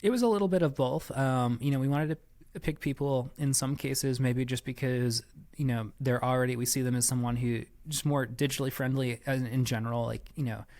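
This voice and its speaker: A man's voice: mid-range voice, steady tone, a little fast, darkish tone. A man giving conversational interview answers as a podcast guest, explaining things with frequent asides and qualifications. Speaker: church staff member, podcast guest.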